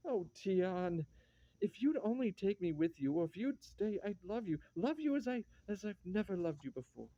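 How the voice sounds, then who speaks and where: An actress playing a frightened, pleading young wife in a classic stage tragedy, emotional and earnest. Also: female voice, low-pitched, moderate pace, warm tone, dry recording, highs cut off. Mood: desperate pleading.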